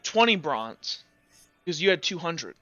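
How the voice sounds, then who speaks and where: Male game master, high bright voice, casual and halting, slightly muffled audio with music underneath. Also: big pitch swings, no room sound. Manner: halting, thinking out loud. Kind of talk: casual tabletop game talk. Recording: slightly dull, music behind. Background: background music.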